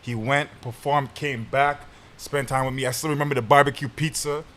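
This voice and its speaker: A man's voice: medium pitch, steady tone, moderate pace, fairly bright tone. A man co-hosting a podcast, asking questions in casual, hesitant chat with slang. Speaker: podcast co-host.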